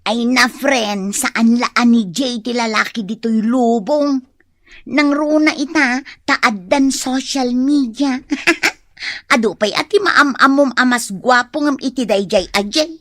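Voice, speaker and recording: A woman's voice: on the high side, takes it slowly, fairly bright tone, no room sound, clean studio sound. An actress playing a middle-aged mother in a comedy radio drama, exasperated yet consoling, with sighing, coaxing delivery.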